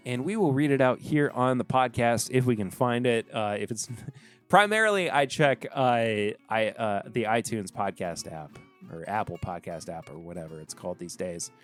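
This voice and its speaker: A man's voice: medium pitch, fairly bright tone. A man hosting a podcast, wrapping up a solo episode in a rambling, chatty way with joking asides. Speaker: podcast host. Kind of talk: casual solo podcast outro.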